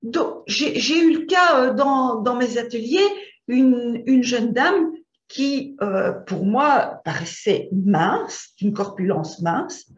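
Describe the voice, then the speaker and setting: A Belgian woman with a Belgian French accent, middle-aged, teaching an image coaching class over slides. Her voice sits a little high and moves a lot, and she talks slowly, trailing off and repeating words as she thinks. The sound is dull and lo-fi, with a roomy echo around her. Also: animated. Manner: hesitant, repeats words while searching. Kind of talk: slide presentation lesson.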